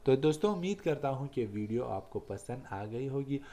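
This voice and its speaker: A man's voice: medium pitch, lively intonation, moderate pace, warm tone. A man with a mid-range voice presents a tech tutorial. He explains each step to his viewers as if to friends, often repeating his points. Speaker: tech channel host. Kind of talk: app review and step-by-step tutorial.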